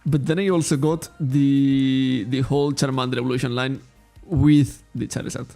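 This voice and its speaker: A man's voice: medium pitch, steady tone, moderate pace, gravelly, warm tone, faint music behind. A man from Spain, a native Catalan speaker with a Catalan accent in English, chatting casually on a card shop livestream, rambling, correcting himself and laughing often. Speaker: trading card shop streamer.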